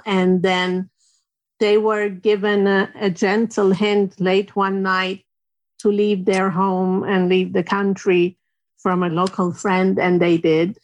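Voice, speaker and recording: A woman in her sixties from Lebanon, a guest telling her personal story in a measured, thoughtful way. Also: medium pitch. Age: 60s. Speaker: interview guest.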